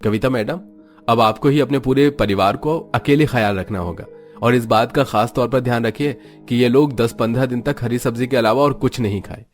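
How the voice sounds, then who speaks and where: A man's voice: mid-range voice, fairly quick, soft background music, lightly acted. A man voicing a stern family doctor who speaks firmly and gives advice in a cartoon story. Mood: admonishing. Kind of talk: Hindi cartoon story dialogue.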